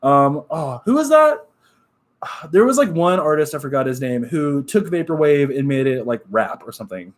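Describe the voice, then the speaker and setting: A man's voice: a little high, normal speed. Nasal young man chatting on a stream. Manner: relaxed, upbeat, many fillers and "like". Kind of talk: casual live-stream chat reading comments.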